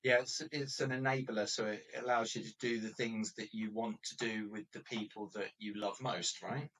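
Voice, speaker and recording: A man's voice: fairly low pitch, fairly even intonation, normal speed, fairly bright tone, recorded from a distance, poor audio. Upbeat male host, a bit off-mic. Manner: upbeat and encouraging. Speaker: podcast host. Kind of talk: casual interview talk.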